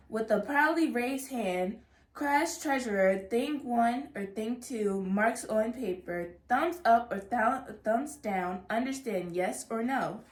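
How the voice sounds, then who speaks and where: A young American woman reading a children's book aloud in a careful, measured way, in an echoey room. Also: on the high side. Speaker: student intern and co-reader. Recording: echoey, roomy sound.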